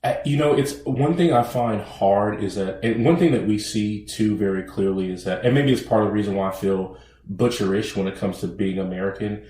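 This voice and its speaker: A man's voice: lowish voice, warm tone. Thoughtful man podcaster, echoey. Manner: thoughtful, halting restarts, slow deliberate phrasing. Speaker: podcast co-host. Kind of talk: casual conversation.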